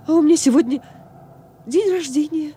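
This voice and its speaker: A woman's voice: sits high, normal speed, mellow, slight background noise, close to an everyday voice. An actress playing a tender, anxious woman in love, emotional and pleading, in a radio war drama. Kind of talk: Soviet radio drama acting.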